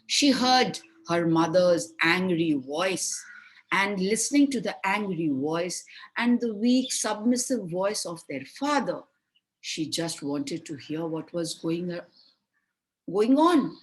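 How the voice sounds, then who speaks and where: A woman telling a children's fairy tale with expressive, explanatory delivery and deliberate emphasis. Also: fairly low pitch, rough recording. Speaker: storyteller.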